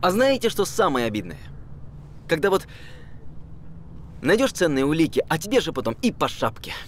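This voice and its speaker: A man's voice: on the high side, very animated, moderate pace, slight background noise. An actor playing a cheeky, curious young man in a screen drama, with a defiant colloquial way of talking. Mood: hurt, challenging. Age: young adult. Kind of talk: naturalistic screen drama acting.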